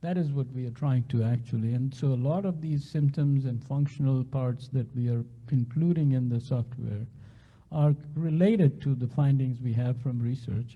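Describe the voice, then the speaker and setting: A man's voice: medium pitch, dull audio. A man speaking as a physician researcher on a panel, explaining earnestly and often restarting his sentences. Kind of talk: panel discussion answer.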